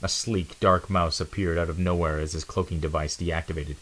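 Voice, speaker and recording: A man's voice: sits low, steady tone, fairly quick, fairly bright tone. A man giving a dramatic narration of an adventure storybook.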